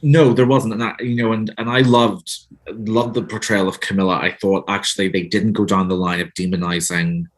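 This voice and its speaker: A Northern Irish man with a lowish voice talks things over in a relaxed interview. He is thoughtful and hesitant, often restarting his sentences as he works out what he means. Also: normal speed. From Northern Ireland. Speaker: author guest. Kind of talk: casual interview chat.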